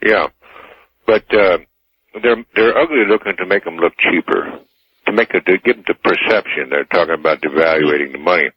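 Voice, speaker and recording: A very low-voiced American man calls in to a radio show. He chats casually and plainly in a rough, husky tone, and the line sounds muffled and roomy. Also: normal speed. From Anchorage, Alaska, United States. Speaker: radio call-in listener. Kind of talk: casual chat.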